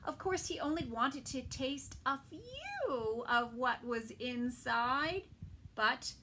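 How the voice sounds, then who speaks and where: Slow, expressive storytime teacher. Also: female voice, high voice, a little hiss or hum. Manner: gentle asides, soft whispered comments. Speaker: preschool teacher. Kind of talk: reading a picture book aloud to young children.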